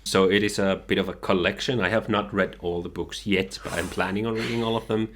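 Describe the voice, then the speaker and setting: A man's voice: low-pitched, steady tone, a little fast, a little hiss or hum. A man, talking earnestly and eagerly about books he loves. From Finland. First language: Finnish. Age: 20s. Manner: earnest, enthusiastic, many "like" fillers. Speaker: guest, partner of the host. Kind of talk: casual chat.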